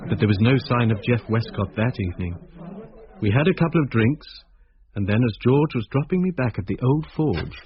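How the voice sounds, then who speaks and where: An actor playing a troubled, introspective schoolteacher who narrates a radio drama in a brooding, uneasy way. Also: male voice, mid-range voice, lively intonation, fairly quick, slight background noise, natural voice. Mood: sombre, reflective. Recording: muffled, lo-fi old radio recording.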